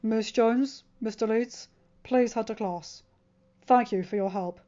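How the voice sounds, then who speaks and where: Lady doing a stern principal guy, formal and measured, raised pitch, bit muffled. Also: mellow, close to an everyday voice. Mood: apologetic, official.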